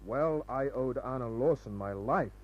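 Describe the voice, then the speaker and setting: A man acts a hardboiled private eye with a husky, mid-pitched voice, telling his case in terse, wry phrases. It is old radio noir, with a muffled sound. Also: unhurried, natural voice. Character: wry, hardboiled male private detective, cool-headed. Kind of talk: radio noir narration and dialogue.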